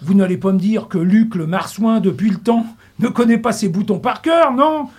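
A middle-aged man with a husky, high-set voice reads a playful radio column in the studio. He lingers on puns and slips into mock-solemn asides. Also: normal speed, a little mellow. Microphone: studio mic.

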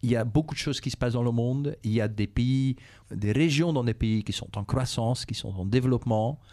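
Man, Belgian, Flemish accent, Dutch native speaker, middle-aged, measured, mellow and warm voice. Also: mid-range voice. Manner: measured, repeats himself, frequent "euh" and "et cetera". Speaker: business executive interviewed by schoolchildren. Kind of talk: calm explanatory interview answers.

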